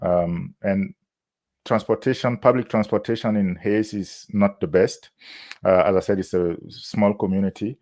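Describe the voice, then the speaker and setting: Low-voiced man from Burkina Faso. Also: steady tone. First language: not English. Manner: measured, frequent "uh" fillers, warm laughs. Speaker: university admissions officer. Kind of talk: interview answers, advice to students.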